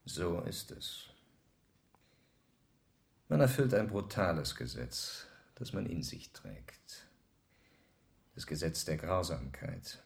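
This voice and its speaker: Low-voiced, sober, probing gentleman. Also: sing-song, takes it slowly, vocal fry, pauses often, full character voice. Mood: grave, resigned. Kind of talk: German radio drama acting.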